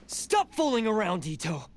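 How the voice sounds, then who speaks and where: A man's voice: sits high, slight background noise, full character voice. An actor playing a proud, desperate young male oni warrior in a game, speaking intensely and defiantly. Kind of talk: game cutscene voice acting.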